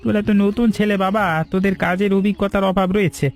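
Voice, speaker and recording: A man's voice: high-pitched, level tone, fast, a little mellow. A man voicing a devoted, stubborn older village farmhand with a fatherly way of talking in a cartoon. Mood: fatherly concern. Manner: fatherly, addresses younger men as sons. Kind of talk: cartoon dialogue acting. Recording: faint music under voice. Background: faint music.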